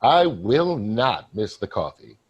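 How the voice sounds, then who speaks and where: Stage ghost, male actor: wry, world-weary office worker, husky, very animated delivery. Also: fairly low pitch, muffled recording, exaggerated voice. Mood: wry, sardonic. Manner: wry, halting, reflective. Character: apparition of dead male coworker, wry, weary, darkly joking. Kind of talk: staged play reading.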